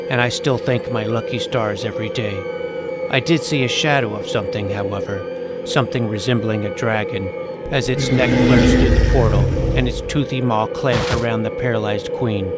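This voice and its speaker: Clear music runs under a man as an earnest young hero telling his fantasy adventure. The narration is scripted, first-person and measured, in an audio drama storybook manner with a mid-pitched voice. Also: lightly acted. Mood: tense storytelling. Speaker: narrator and lead character.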